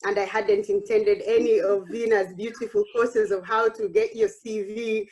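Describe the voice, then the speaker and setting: A woman's voice: mid-range voice, fairly even intonation, normal speed, poor audio. A woman, telling her personal story at an online workshop in an earnest way with frequent restarts. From Uganda. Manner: warm, earnest, with frequent restarts and self-quoting. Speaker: workshop speaker.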